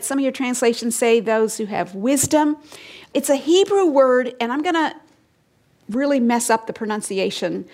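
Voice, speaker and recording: A woman preaching through a passage line by line, her voice sitting a bit high. She presses her points with emphasis, lifts and drops her tone, and sometimes falls almost to a whisper. She asks her listeners questions and answers them herself. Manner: emphatic, rising and falling, drops to hushed lines. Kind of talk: expository sermon.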